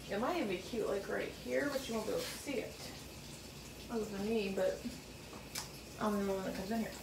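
Woman chatting from across a roomy space. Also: on the high side. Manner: informal, thinking aloud. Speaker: home vlogger. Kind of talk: casual chat while decorating. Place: small laundry room indoors at home. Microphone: camera or phone set a few feet away. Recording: roomy, distant mic, noisy background.

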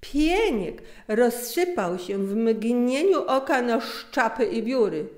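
A middle-aged woman tells a story expressively. Her voice sits fairly high and she takes her time, very slowly. The recording has a clear roomy echo. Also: animated, darkish tone. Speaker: author and narrator. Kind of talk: storytelling, scripted narration. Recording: roomy, echoing sound.

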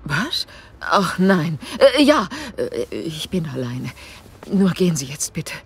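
Nervous, frightened housewife, evasive, hushed and halting, slow delivery, slightly low voice. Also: female voice, big pitch swings, slight background noise, full character voice. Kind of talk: German radio drama acting.

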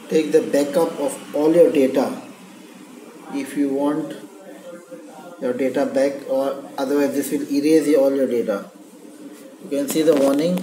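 Man, tutorial presenter, casual walkthrough; dark timbre, faint background noise, phone mic. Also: on the high side, roomy sound, poor audio. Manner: plain step-by-step explaining, non-native phrasing. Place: indoor room at a desk, off camera.

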